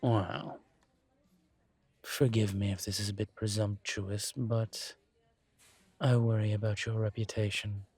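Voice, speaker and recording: A man voicing a suave, teasing incubus professor in an intimate audio roleplay, courteous and measured, with deliberate pauses. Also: lowish voice, little pitch movement, darkish tone, breathy edge, no room sound, exaggerated voice. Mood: measured, candid. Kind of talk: intimate one-sided audio roleplay acting.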